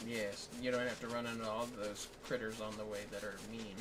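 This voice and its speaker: A man's voice: lowish voice, little pitch movement, a little fast, noisy background. A man livestreaming a game and chatting casually as he plays. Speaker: livestream gamer. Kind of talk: casual gameplay chat. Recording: music playing, distant mic. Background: game music.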